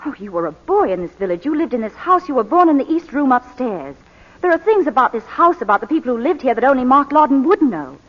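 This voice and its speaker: An old, muffled, echoing radio recording of an anxious, devoted wife. Her voice is fairly high and a little husky, swooping with theatrical emotion. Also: sing-song, quick pace. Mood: anxious, pleading. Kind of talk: 1940s radio drama acting. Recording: muffled, reverberant 1941 radio broadcast.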